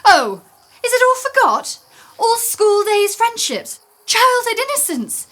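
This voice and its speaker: A woman acting an indignant young lady of classic stage drama. Her voice is very high and swings widely in pitch. She declaims every grievance theatrically, as in a Shakespearean radio play. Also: sing-song, slow pace, fairly bright tone, full character voice. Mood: wounded, reproachful. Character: Helena, wounded indignant young woman, easily frightened lover.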